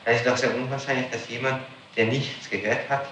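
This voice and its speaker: A male police interrogator questions insistently and formally in a mid-pitched voice, challenging what he is told. He sounds set back from the microphone in a very reverberant room on a noisy archival tape. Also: level tone, a little fast, distant mic.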